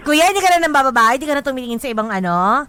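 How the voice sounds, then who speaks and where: A woman's voice: high-pitched, sing-song, quick pace, a bit bright, a little hiss or hum. A woman with a Filipino accent bantering as a radio DJ host, brash, teasing and slangy.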